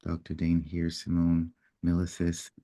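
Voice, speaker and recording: A man speaking in an unhurried, reflective way as he tells a personal story, now and then acting out what people said. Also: low-pitched, creaky. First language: English. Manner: unhurried, reflective storytelling with lively acted-out quotes. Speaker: personal development facilitator.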